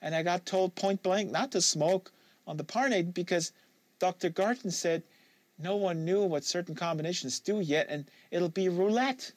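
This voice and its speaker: A man voicing a depressed, anxious young woman confessing haltingly, as an audiobook character. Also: high voice, dry recording, pitched above the performer's own voice. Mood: anxious confession. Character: depressed anxious young woman, pot-addicted psychiatric patient.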